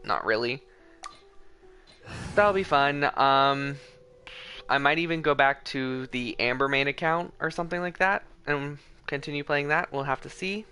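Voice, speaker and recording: A man livestreams a game with casual, off-the-cuff commentary. His voice is bright and forward at a middling pitch, with faint game music behind him. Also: measured pace. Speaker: livestream host playing a video game.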